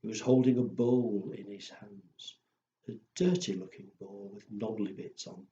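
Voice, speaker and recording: A man's voice: lowish voice, fairly even intonation, a little slow, dark tone. Echoey, playful male children's storyteller. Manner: playful, simple phrasing with acted woofs and exclamations. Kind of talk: storytelling and counting rhymes for young children. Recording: echoey room, dull sound, faint noise.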